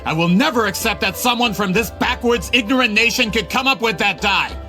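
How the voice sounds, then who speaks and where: An actor playing an arrogant, greedy inventor and publisher in a video game, with a pompous, theatrical delivery. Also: male voice, high voice, fairly quick, music in the background, lightly acted. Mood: bitter. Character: scheming, arrogant male inventor-publisher, greedy and self-important. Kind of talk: dramatic video game voice acting.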